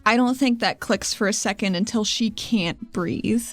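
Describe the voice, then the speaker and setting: A woman's voice: medium pitch, a little slow, soft background music. A woman playing in a tabletop roleplaying game, describing what her character does in a playful, conversational way. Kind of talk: casual roleplay, describing own character's actions.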